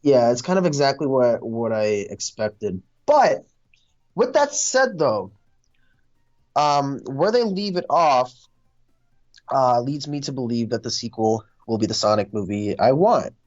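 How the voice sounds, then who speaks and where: A man's voice: medium pitch, sing-song, a little slow, darkish tone. A man in a casual movie discussion, speaking hesitantly as he thinks aloud. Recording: dull, lo-fi sound.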